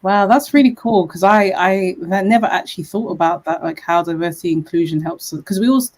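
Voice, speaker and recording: Low-voiced enthusiastic woman podcast host. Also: a little fast, darkish tone. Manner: enthusiastic, lots of fillers and "like". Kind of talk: casual conversational interview.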